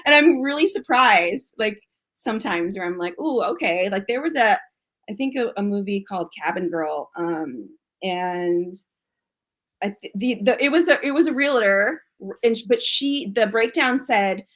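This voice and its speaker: An American actress talking casually as an interview guest, chatty and full of restarts and fillers. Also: female voice, medium pitch, expressive, normal speed, a bit bright. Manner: chatty, frequent fillers and restarts, laughs often.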